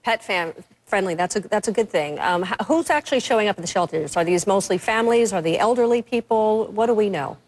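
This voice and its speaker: A woman's voice: medium pitch, animated, fairly quick, low-quality recording. A woman, a news anchor reporting and interviewing live.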